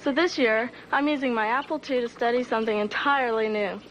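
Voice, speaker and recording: A woman's voice: fairly high pitch, a little fast, fairly bright tone, dull audio, close to an everyday voice. A young woman playing an earnest teenage student in a scripted television ad testimonial. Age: teens. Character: earnest teenage student who refused to dissect a frog. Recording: faint noise, old TV ad playback.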